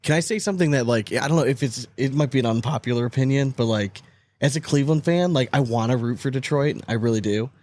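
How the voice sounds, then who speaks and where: A man's voice: mid-range voice, quick pace, darkish tone. A man in his thirties hosting a podcast in an informal, slangy, chatty way. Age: 30s. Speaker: podcast host. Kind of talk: casual podcast chat.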